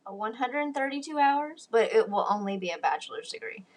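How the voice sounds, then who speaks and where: A woman's voice: on the high side, lively intonation, a little fast. A young woman from Texas with a Southern accent, chatting casually as an interview guest, laughing often and poking fun at herself. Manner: chatty, giggly, self-deprecating.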